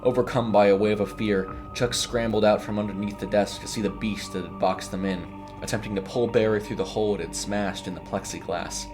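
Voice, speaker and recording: A male narrator with a low voice tells a scripted horror story. His delivery is dramatic storytelling, set over clearly audible music. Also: steady tone, a little fast, hardly any pauses.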